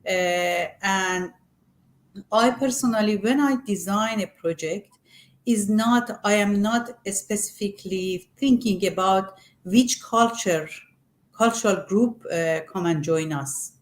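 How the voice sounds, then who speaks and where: A middle-aged or older woman from Iran is being interviewed about her work. She has a Persian accent and a mid-range voice, and she speaks haltingly, often pausing to find her words in a second language. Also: mid-range voice. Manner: halting, searching for words in non-native English. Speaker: arts group representative being interviewed.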